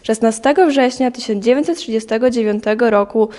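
A young woman narrates a historical audio drama from a script. Her voice sits fairly high as she tells the story. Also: brisk, mellow.

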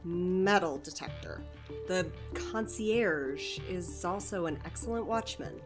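A woman's voice: slow pace, over music. A woman playing a chatty, enthusiastic community center director in an audio drama, talking expansively and persuasively. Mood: assured. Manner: expansive, persuasive, eager to pitch ideas.

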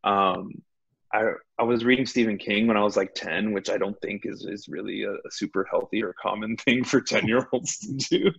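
A man in his thirties from Texas, a guest on a video call from home, telling his story in a relaxed, self-deprecating way. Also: lowish voice, normal speed, vocal fry, slightly rough, dull audio. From Laredo, Texas. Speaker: guest, addiction counselor. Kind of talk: personal recovery story. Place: home room.

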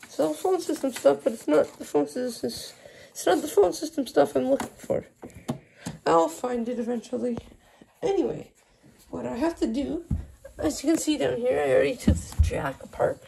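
A woman's voice: high-pitched, normal speed, creaky, background noise. A woman talking casually off the cuff as she explains a hands-on tech project, recorded indoors on a handheld phone camera. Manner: informal, explaining while handling gear. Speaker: video host showing a phone system project. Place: small indoor room with wooden floor. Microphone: handheld phone camera, moving around.

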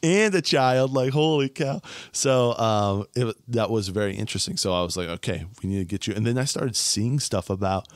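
A chatty, upbeat man in his thirties hosts a relaxed podcast conversation, his voice sitting fairly low. He is close on a studio boom mic, and the sound is dry and tight, with little room behind it. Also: brisk, hardly any pauses, dry recording. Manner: chatty, upbeat, frequent laughs and "bro". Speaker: podcast host. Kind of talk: casual conversational interview. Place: small home podcast studio. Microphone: studio mic on boom arm, headphones.

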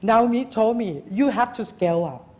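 A woman's voice: fairly high pitch, expressive, normal speed, dark tone. A woman from Thailand, giving a live architecture lecture at a lectern, speaking informally with many fillers and restarts.

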